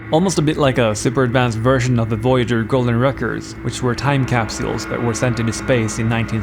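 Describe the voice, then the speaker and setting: A man narrates a scripted lore piece, dropping wry jokes along the way. His voice sits in an ordinary middle register. Music plays clearly under him. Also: mid-range voice. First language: Swedish. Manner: wry, playful asides. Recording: voice-over with music bed.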